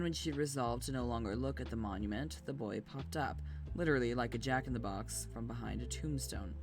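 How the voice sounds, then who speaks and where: A streamer reading a bedtime story aloud, with casual, sweary, chatty asides to viewers. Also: medium pitch. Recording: music playing behind the voice. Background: background music.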